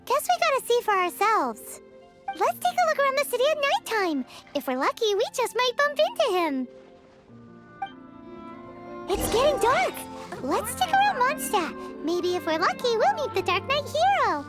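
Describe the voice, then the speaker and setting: A woman's voice: very high voice, wildly swooping pitch, moderate pace, heavily acted. A woman voicing a tiny, childlike female fairy companion in a video game, eager, cheeky and exclamatory. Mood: pondering, chirpy. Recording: music behind the voice.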